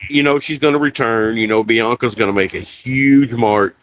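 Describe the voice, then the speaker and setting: A man's voice: medium pitch, moderate pace, crowd noise, rough recording. A man co-hosting a casual live stream podcast, rambling with lots of restarts and "you know". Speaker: podcast co-host.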